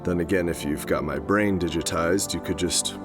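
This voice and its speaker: Actor as a confused grumpy old guy, deep voice, stammers a lot, music underneath. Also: fairly even intonation, close to an everyday voice. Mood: defensive, grumpy. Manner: halting, stammering, grumbling. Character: crotchety, bewildered retired grandfather, newly dead and digitized. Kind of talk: scripted audio drama acting. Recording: music behind the voice.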